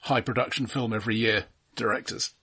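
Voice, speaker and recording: Man, husky, hesitant and measured, dry understatement, casual film podcast talk. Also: mid-range voice, steady tone, sharp tone.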